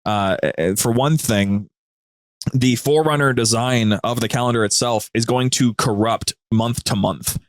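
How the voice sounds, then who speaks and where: Man, medium pitch, dry close sound, enthusiastic storyteller, casual interview talk. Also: a little slow, dry recording. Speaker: podcast guest and co-host of a media group's show.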